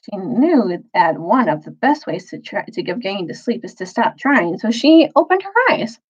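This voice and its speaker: A woman reading a children's novel aloud as a storyteller, phrasing carefully with dramatic pauses and emphasis. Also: medium pitch, very animated, slightly rough, muffled recording.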